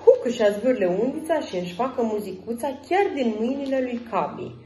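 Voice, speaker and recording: A woman reading a children's story aloud in an expressive storytelling style. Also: a little high. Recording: roomy echo, faint music.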